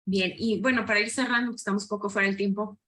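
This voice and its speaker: Moderator, woman, mid-pitched, reads questions haltingly with lots of fillers. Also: fairly even intonation, fairly bright tone. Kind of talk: reading audience questions.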